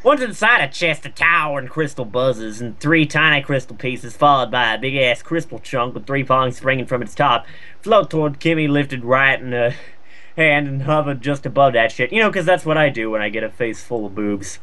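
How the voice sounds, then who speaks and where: A man's voice: medium pitch, moderate pace, pushed and bright. A man casually reading slang-laden fan fiction aloud for comedy, with sarcastic asides.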